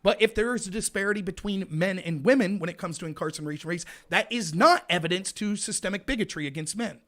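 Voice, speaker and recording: A man hosting a live political show, ranting in a loud, indignant voice. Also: high-pitched, fast talker. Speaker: livestream show host. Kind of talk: heated political commentary.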